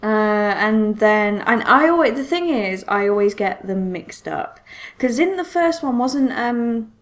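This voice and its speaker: Female podcast co-host, slightly high voice, casual, tentative, thinks out loud. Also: lively intonation, unhurried. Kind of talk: casual film discussion chat.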